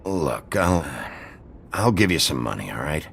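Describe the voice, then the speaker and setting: An actor playing a gruff, stern, weary sheriff of fairy-tale folk in a video game, terse and blunt with a gravelly voice. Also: male voice, very deep voice, very animated, unhurried, fairly bright tone, a little hiss or hum, full character voice. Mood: firm, questioning.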